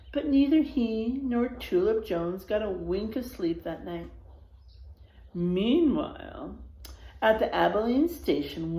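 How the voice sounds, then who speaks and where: Animated woman reading a children's story. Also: medium pitch, gravelly, echoey room, low-quality recording. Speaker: read-aloud storyteller. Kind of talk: expressive children's story reading with asides to listeners.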